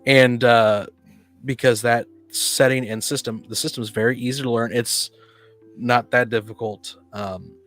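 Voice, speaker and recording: A man's voice: medium pitch, steady tone, a little slow, fairly bright tone. Man rambling casually over music. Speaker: podcast panelist. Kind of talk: casual panel discussion.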